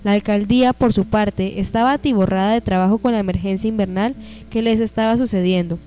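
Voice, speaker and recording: A woman's voice: medium pitch, steady tone, fairly quick. A woman reading scripted narration for a radio drama. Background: soft music.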